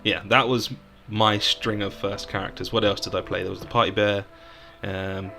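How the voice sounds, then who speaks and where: Bright-voiced, lower-pitched British man. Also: little pitch movement, normal speed, vocal fry, soft background music. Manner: rambling, storytelling, frequent "um". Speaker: podcast co-host. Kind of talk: casual chat about tabletop games.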